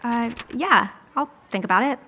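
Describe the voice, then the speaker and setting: An actress playing a polite, hesitant nonprofit teacher in a naturalistic audio drama, courteous and tentative. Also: female voice, a little high, animated, normal speed, sharp tone, slight background noise. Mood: polite, reserved. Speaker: actor in a scripted audio drama.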